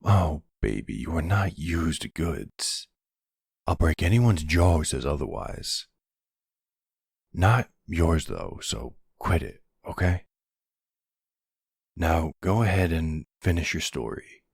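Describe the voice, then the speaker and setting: Man acting a very deep, gravelly mafia boss. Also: very animated, dry recording, studio quality, exaggerated voice. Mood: reassuring, protective. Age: middle-aged. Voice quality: gravelly, husky, breathy. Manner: soothing, unhurried, full of pet names. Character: older silver-fox mafia boss, protective, tender lover. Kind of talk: intimate ASMR boyfriend roleplay acting.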